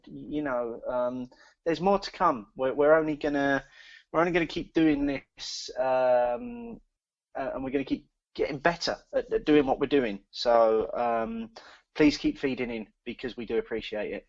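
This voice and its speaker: A man with a gravelly, creaky voice co-hosts a casual podcast, stumbling over his words and repeating himself as he goes through a list of thank-yous. The sound is dry and a bit dull, like a lo-fi recording. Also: medium pitch, big pitch swings, no room sound. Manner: halting, many fillers and repeated words. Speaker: podcast co-host. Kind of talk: casual chat.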